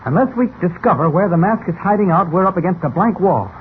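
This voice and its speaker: An actor playing a calm, decisive newspaper reporter with brisk authority in an old radio serial. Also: male voice, high voice. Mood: determined. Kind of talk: 1940s radio serial acting. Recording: tinny, band-limited old radio with hiss.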